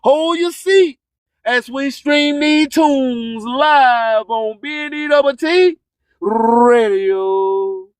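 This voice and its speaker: A man's voice: extremely high pitch, very slow, clean tone, no room sound. A man hosting an online radio show, delivering hype announcements, slogans and sponsor reads emphatically, repeating phrases for effect.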